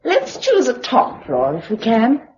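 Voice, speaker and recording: Young british survivor in a radio play, polite, quite high voice, really lively, muffled old-sounding audio. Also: female voice, a little slow, a little hiss or hum. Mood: tentative, practical. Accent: British English. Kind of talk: radio drama acting. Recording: dull lo-fi radio recording.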